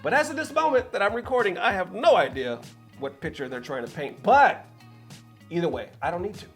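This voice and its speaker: A man's voice: high voice, expressive, brisk. A man from the San Francisco Bay Area talking casually and with animation to viewers as a gaming news host, with slangy, sweary phrasing. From San Francisco Bay Area, California. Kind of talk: casual opinionated vlog talk to viewers. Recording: music playing behind the voice. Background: background music.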